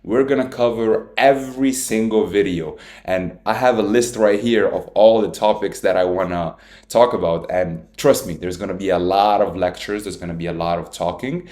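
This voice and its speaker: Earnest young man, low voice, echoey room. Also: moderate pace, darkish tone. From Italy. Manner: earnest, repetitive, self-taught English with small slips. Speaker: trading educator. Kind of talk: casual talking-head lecture.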